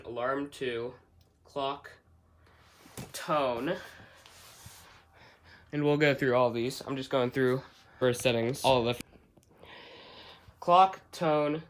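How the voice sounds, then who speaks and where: Slow-paced man talking through a gadget setup. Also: medium pitch. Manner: halting, thinking aloud step by step. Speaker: hobbyist product reviewer. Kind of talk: unscripted unboxing and setup walkthrough.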